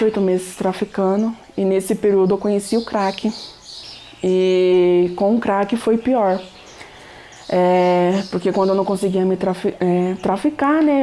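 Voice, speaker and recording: A woman's voice: mid-range voice, steady tone, a little slow, dark tone, a little hiss or hum. A young Brazilian woman gives a reflective personal testimony, often ending phrases with tag questions. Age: young adult. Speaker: resident sharing her testimony.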